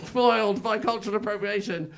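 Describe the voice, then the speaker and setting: A man's voice: sits high, creaky, heavy background noise. An Englishman, a young adult, doing a chatty, self-mocking stand-up set on a comedy club stage into a handheld mic, with plenty of filler words. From England.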